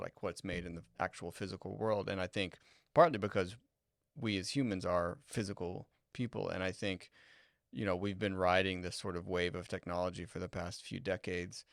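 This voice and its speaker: A man co-hosting a podcast in reflective, casual discussion, halting as he searches for words. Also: low voice, steady tone, vocal fry, no room sound, clean studio sound. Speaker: podcast co-host.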